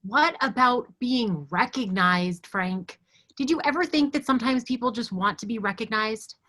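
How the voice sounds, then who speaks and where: A woman's voice: fairly high pitch, moderate pace, pushed and bright. A woman in a staged comedy reading playing an assertive office worker with a pointed, challenging manner.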